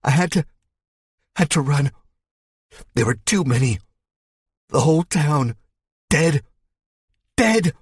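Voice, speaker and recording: A man's voice: fairly high pitch, lively intonation, slow pace, long pauses, breathy edge, no echo, studio quality, pitched above the performer's own voice, heavily acted. A man voicing a wounded older man in pain, panicked and strained, speaking in broken sentences.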